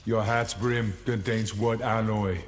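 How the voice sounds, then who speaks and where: A menacing humanoid robot from a video game, acted by a man, with a low male voice. The delivery is flat, clipped and mechanical. It rings in a big reverberant space with loud noise around it. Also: measured pace, lightly acted. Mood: cold, flat. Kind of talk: video game character dialogue. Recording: long reverb, loud effects noise, clicks.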